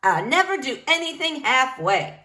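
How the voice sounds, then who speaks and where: Lady reader doing a stubborn librarian woman in a kids book, highish, punchy and resolute. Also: very animated, normal speed, sharp tone, roomy sound, exaggerated voice. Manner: emphatic, declarative.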